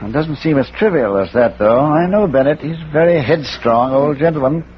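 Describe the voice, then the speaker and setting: An actor playing a genial New England schoolmaster and amateur sleuth, hesitant, wry and courteous, in a 1940s radio mystery. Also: male voice, on the high side, lively intonation, moderate pace, close to an everyday voice. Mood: concerned. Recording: dull, hissy old radio recording.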